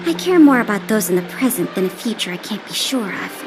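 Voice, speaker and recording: A woman's voice: mid-range voice, animated, brisk, over music, natural voice, poor audio. A woman playing a friendly, pragmatic young woman in a game cutscene. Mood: matter-of-fact.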